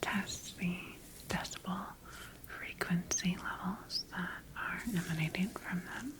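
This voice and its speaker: A woman playing a calm, clinical scientist in a whispered ASMR sci-fi medical roleplay, soft, soothing and methodical. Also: on the low side, slow pace. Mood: clinical, focused. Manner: soft, soothing, methodical murmuring to herself and patient. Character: calm, clinical female scientist examining her creation.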